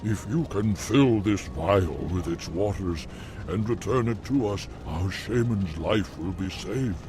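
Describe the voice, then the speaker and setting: A man's voice: sits low, animated, measured pace, noisy background. An actor playing a worried male tribesman pleading for help in a game cutscene. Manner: pleading, earnest. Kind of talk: video game cutscene acting. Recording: game audio with music underneath.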